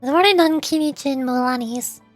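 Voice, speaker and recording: A woman's voice: high-pitched, a little fast, faint music behind. A woman livestreamer chatting casually over a game in a playful, theatrical way. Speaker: vtuber streamer.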